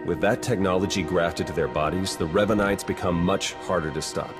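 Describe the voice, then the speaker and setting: A confident secret agent in a sci-fi game, acted by a man, with a very low voice. He is dry, casual and practical, with music playing behind him. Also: a little fast, close to an everyday voice. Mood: determined briefing. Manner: casual, dry, matter-of-fact. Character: wry, confident male Republic spy, practical and brisk. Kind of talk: video game voice acting.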